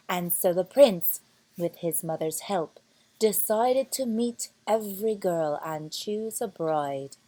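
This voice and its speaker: A woman narrating a children's fairy tale from a storybook, with deliberate, expressive phrasing. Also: mid-range voice. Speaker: storybook reader.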